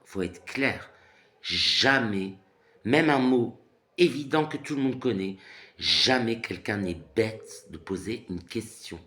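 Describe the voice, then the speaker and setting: A man's voice: on the low side, a bit bright. A man in his thirties from France, ranting casually in a rambling monologue full of fillers and repeated phrases. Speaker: vlogger.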